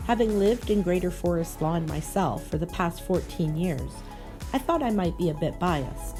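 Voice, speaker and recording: Female documentary narrator, lowish, calm, music bed clearly heard. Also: moderate pace. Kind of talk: scripted narration.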